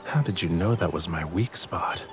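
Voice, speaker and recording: A man's voice: sits low, moderate pace, lightly acted. A man playing a teasing, pouty and smug young love interest in a romantic audio drama. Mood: negotiating. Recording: music under the voice. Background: background music.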